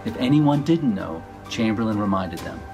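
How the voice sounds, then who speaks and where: A man with a fairly low voice tells his story in a measured, scripted narration. Music plays clearly beneath him throughout. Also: lively intonation. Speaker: author narrating his book trailer. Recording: music bed under the voice.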